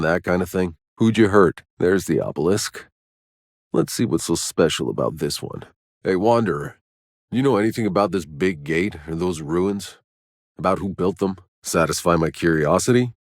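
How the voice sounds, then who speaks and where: An actor voicing a jaded, sardonic, hardened soldier in a video game, with a rough voice and a wry, terse, world-weary delivery. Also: male voice, low voice, dry recording, clean studio sound. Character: jaded, sardonic male soldier, hardened survivor of alien wilds. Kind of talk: video game character voice lines.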